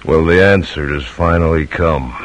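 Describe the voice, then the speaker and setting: An actor playing a plain-spoken, determined American silversmith in an old-time radio drama. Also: male voice, a bass voice. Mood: quiet resolve. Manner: plain-spoken, deliberate, resolute. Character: brisk, determined American silversmith and patriot, stubborn father. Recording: vintage radio recording.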